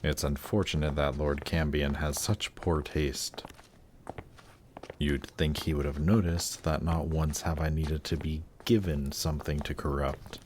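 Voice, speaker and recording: A man playing a suave, patient, seductive demon prince in a fantasy roleplay audio drama, speaking in a teasing, coaxing, measured way. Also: very deep voice, a little mellow, slight background noise. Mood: smooth, self-assured. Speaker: voice actor. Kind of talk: slow, intimate roleplay audio drama performance.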